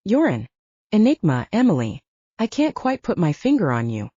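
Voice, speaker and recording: A woman's voice: mid-range voice, wildly swooping pitch, moderate pace, mellow, husky, dry recording, muffled recording. A woman voicing a teasing, determined male hero in a werewolf audiobook, with casual, wry banter. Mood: firm. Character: teasing, determined man, first-person hero of werewolf story. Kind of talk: audiobook character acting.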